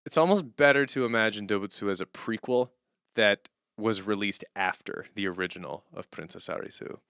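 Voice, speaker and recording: Male streamer, lowish smooth voice, casual and animated, rambling thinker-aloud, dry close sound. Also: brisk, no echo. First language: English as main language, German heard at home. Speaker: streamer and songwriter. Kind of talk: casual unscripted stream talk.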